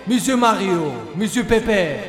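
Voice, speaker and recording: A scheming rabbit rebel leader, as a man puts him on with a high voice. He delivers pompous, rabble-rousing speeches that ring through an echoey hall over music. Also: animated, measured pace. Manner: pompous, rabble-rousing, odd word order.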